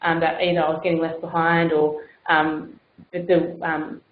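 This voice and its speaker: A woman with a fairly low voice gives feedback at a workshop, hesitant and full of fillers. The recording is dull and lo-fi in an echoey room with faint background noise. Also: level tone, normal speed. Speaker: community centre coordinator.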